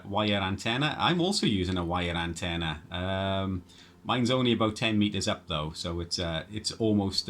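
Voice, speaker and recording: Male amateur radio operator, low pitch, loose conversational delivery, hesitant and rambling. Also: a bit bright, slight background noise. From Wales. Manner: rambling, hesitant, repeats words. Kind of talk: casual ham radio chat.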